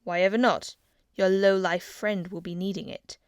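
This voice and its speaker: A woman's voice: mid-range voice, fairly even intonation, moderate pace, clean tone, no echo, clean studio sound, close to an everyday voice. A woman reading the part of a stern, cruel middle-aged king who threatens and hisses his words. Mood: contemptuous. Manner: cold, threatening, hissing.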